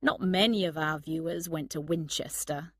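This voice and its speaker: A woman's voice: low-pitched, normal speed, no room sound, studio quality. A woman voicing a sly, charming young man in an audiobook story. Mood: explaining. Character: sly, charming young man, privately smitten with his friend.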